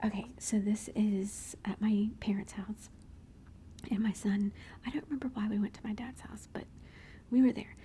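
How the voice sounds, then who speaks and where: A woman's voice: medium pitch, mellow, a little hiss or hum. A woman whispering throughout as she shows family photos and gushes fondly over her kids. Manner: gushing, affectionate, chatty. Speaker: mother showing family photos. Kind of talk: whispered ASMR photo show-and-tell.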